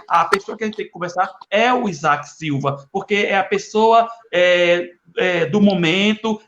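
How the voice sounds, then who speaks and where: A man with a Brazilian accent hosting a live online talk, speaking hesitantly as he introduces and asks questions. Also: high-pitched. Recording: echoey, muffled, noisy internet live stream.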